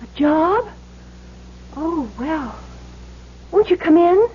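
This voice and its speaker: A spirited young wife with a very high, emotional voice. She speaks slowly and earnestly in a dull-sounding vintage radio drama. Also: lively intonation, heavily acted. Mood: polite then alarmed. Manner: emotional, pleading, emphatic. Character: decent, spirited young married woman, earnest. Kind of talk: old-time radio drama acting. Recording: dull lo-fi old radio recording.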